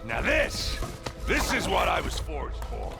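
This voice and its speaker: Boastful battle-loving soldier for a video game, gravelly, raised pitch, emphatic. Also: male voice, huge pitch swings, slow pace, over loud music, exaggerated voice. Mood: battle-hungry, exultant. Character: brash burly male soldier relishing battle. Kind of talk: video game character dialogue.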